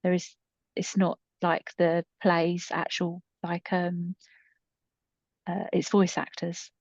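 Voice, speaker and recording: A woman's voice: on the low side, monotone, slow pace, gravelly, a little mellow, dry recording, muffled recording. A middle-aged woman co-hosting a podcast in casual, unscripted chat, explaining things conversationally. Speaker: podcast co-host and storytelling creator.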